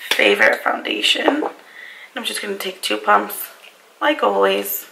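A woman in her twenties with a hoarse, raspy voice from a cold, chatting casually through a makeup review at her vanity. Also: mid-range voice, unhurried, noisy background. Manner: chatty, apologetic about her voice. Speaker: beauty vlogger. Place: bedroom vanity, indoors.

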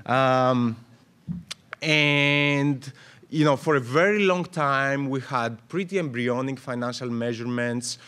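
A man's voice: medium pitch, takes it slowly, clear, resonant tone. A man in his thirties from Greece, giving panel remarks at a conference, speaking with lots of fillers and restarts into a table microphone. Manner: animated, gestures a lot, many fillers and restarts. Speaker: panel moderator. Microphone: table mic on stand.

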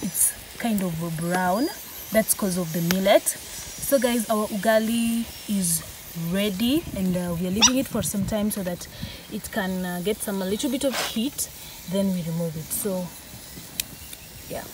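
A woman's voice: mid-range voice, a little slow. Young female cooking vlogger, East African accent, informal demo delivery, noisy open-air phone sound. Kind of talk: casual cooking demonstration. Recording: noisy outdoor phone recording.

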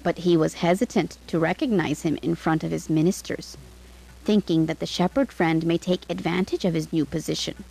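A woman narrating a scripted fairytale for children in an expressive, dramatic way. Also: fairly low pitch, moderate pace, vocal fry, slightly rough, rough recording. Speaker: storyteller.

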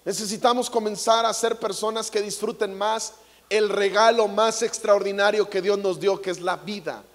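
Playful Mexican preacher, high voice. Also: male voice, steady tone, moderate pace. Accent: Mexican Spanish. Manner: playful, jokey, acts out quotes. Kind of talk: comedic stage sermon. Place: large theater stage. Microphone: handheld mic. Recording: long hall reverb.

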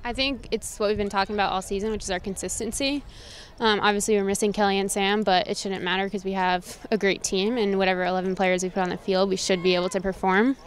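A woman's voice: mid-range voice, fairly even intonation, fast, slight background noise. A woman in her twenties, a soccer player giving a post-game interview outdoors into a handheld mic. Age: 20s. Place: outdoor stadium at night. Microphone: handheld interview microphone.

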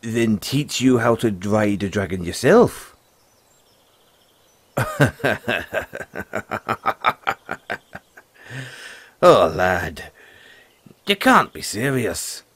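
A man playing a gruff but friendly forest dragon tamer, talking in a folksy way straight to the listener in an audio roleplay. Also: medium pitch, dry recording. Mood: amused, teasing. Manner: folksy, teasing, calls the listener lad.

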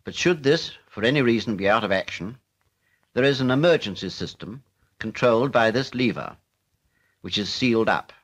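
A British man narrating a 1940s military instructional film in a formal, measured, matter-of-fact way. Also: mid-range voice, lively intonation, fairly bright tone.

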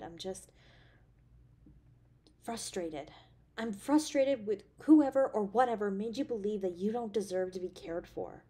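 A woman acts out an affectionate girlfriend in an intimate romantic audio drama. Her voice sits at a middling pitch for a woman. She speaks tenderly and expressively, with an anxious streak and a playful, teasing side. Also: husky. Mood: tender, earnest. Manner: tender, expressive, with sighs and soft chuckles. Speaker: voice actor in an audio roleplay.

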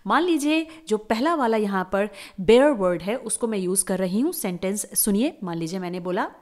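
A patient woman teacher talks over lesson slides. She repeats each word slowly and clearly so learners can follow, in an even, mid-pitched voice. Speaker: English teacher. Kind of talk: explaining lesson to camera viewers.

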